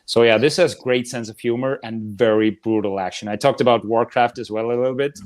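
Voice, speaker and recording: A man's voice: mid-range voice, steady tone, brisk. A man chatting casually and enthusiastically as a podcast panelist, with informal wording and some mild swearing. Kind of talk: casual chat about films and TV shows.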